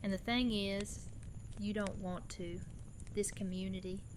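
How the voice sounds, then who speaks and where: Insistent female survivor played by a woman, earnest, mid-pitched, radio play with noticeable background noise. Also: steady tone, measured pace, natural voice. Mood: quiet, intense. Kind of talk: audio drama acting.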